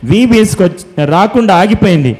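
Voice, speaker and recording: High-voiced man hosting a puppet show. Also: a little fast, a little mellow. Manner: scolding, instructive, addressing children. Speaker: puppet show host at children's Bible school. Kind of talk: scripted puppet show dialogue with simple preaching.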